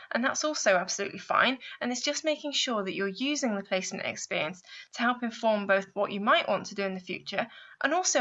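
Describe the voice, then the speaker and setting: Bright-voiced woman narrating guidance. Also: fairly high pitch, muffled recording. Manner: clear, explanatory, addressing the listener with guiding questions. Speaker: university placement adviser narrating a guidance video. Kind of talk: scripted instructional narration.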